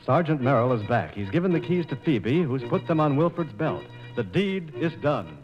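A man's voice: mid-range voice, big pitch swings, moderate pace, music in the background. Male 1940s radio narrator for an opera broadcast, mid-range voice, muffled transcription sound.

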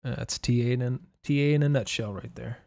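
Hoarse, gravelly young man streaming. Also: medium pitch, brisk, darkish tone, no echo, clean studio sound. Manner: laid-back, swears casually, reads chat aloud. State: hoarse, losing his voice. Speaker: streamer and game caster. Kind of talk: casual live-stream chat. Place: home room at night.

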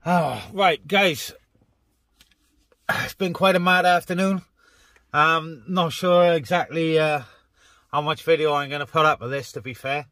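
A man's voice: fairly high pitch, steady tone, measured pace, long pauses, fairly bright tone, no echo. A man vlogging in a casual, chatty way, talking straight to his viewers. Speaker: vlogger and radio hobbyist. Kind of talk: casual chat to camera. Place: outdoors on moorland and inside a parked car. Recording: clicky with faint background noise.

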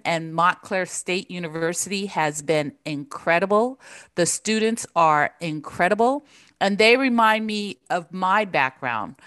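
A middle-aged woman whose first language was Japanese speaks into a desk mic over a video call. Her voice is fairly low and the sound is dry and close. She answers in an earnest, measured way. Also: fairly bright tone, no echo. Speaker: professor, interview guest. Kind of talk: conversational interview answers. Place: home living room.

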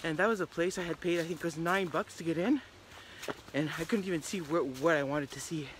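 A middle-aged woman talking casually to her phone while walking a wooded trail, rambling with many restarts and often trailing off into a quiet murmur. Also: deep voice, a little fast, noisy background. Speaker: hiking vlogger. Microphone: phone held at arm's length.

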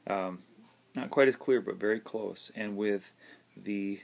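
A man with a very low voice and plenty of vocal fry talks casually to a camera held at arm's length. He sounds like a working DJ giving an informal review, feeling his way through his points in a halting, conversational manner. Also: darkish tone. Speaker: DJ and entertainer reviewing gear. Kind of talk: casual video review talk. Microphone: phone or camera at arm's length.

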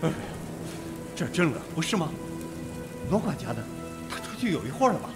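An actor in a radio drama playing a suspicious, blunt police detective who probes and is easily rattled. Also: male voice, fairly high pitch, big pitch swings, brisk, loud music behind the voice. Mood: uneasy.

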